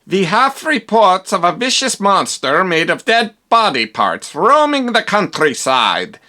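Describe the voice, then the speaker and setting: Man playing a stern foreign inspector, slow, clipped, officious, big pitch swings, comedy sketch. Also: expressive, bright, forceful tone. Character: stern stiff foreign male police inspector.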